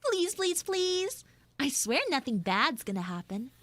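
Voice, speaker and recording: A woman voicing a defensive, flustered tsundere anime schoolgirl who acts mean but is secretly soft, in an improvised livestream roleplay. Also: high voice, very animated, dry recording, full character voice. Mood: mock outraged. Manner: defensive, pouty, halting and repetitive. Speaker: livestreamer and VTuber voice actress. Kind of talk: improvised live audio roleplay with chat asides.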